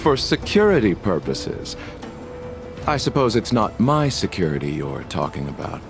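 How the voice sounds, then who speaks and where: A man voicing a suave, enigmatic traveling merchant in a video game, polite and theatrical with gentle irony. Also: fairly low pitch, very animated, moderate pace. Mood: amused irony.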